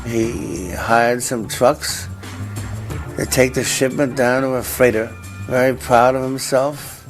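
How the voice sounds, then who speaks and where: A man's voice: medium pitch, steady tone, slow pace, faint music behind. A man retelling an episode in a documentary interview.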